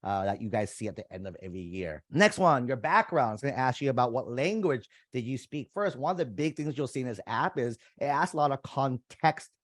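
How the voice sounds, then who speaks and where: A man's voice: medium pitch, animated, brisk, few pauses, a bit bright, no echo. A young man whose first language is Vietnamese talks through an application form in a loose, conversational way, calling his viewers "you guys." His mid-range voice sits close to the mic in a dry, dead room. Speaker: college admissions coach. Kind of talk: screen-share walkthrough tutorial.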